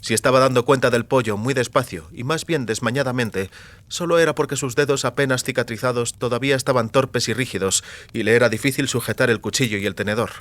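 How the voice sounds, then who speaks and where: A man's voice: mid-range voice, quick pace, fairly bright tone. A man reading scripted narration for a fantasy audiobook.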